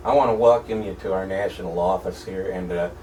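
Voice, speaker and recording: A man's voice: sits low, a little fast, warm tone, recorded from a distance. An American man hosting informal internet radio, speaking in short, emphatic phrases. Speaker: radio host. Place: indoor broadcasting room. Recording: echoey room, off-mic, faint noise.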